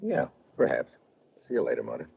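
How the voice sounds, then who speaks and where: A man's voice: rumbling low, huge pitch swings, a little fast, gravelly, heavily acted. A man acting a tough, wry American investigator in a hard-boiled, clipped golden-age radio drama style. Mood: wry, guarded. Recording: muffled old radio recording with music.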